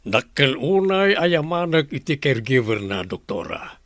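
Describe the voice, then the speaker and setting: A man's voice: medium pitch, very animated, a little slow, no echo, studio quality. A man voicing a blunt, complaining grown grandson in a radio drama. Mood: grateful. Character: grown grandson, resentful and demanding. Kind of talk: Ilocano radio drama acting.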